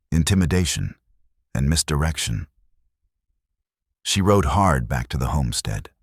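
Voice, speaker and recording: A man narrating a western romance audiobook in a measured, dramatic way. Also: rumbling low, slightly rough, no room sound, clean studio sound. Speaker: storyteller.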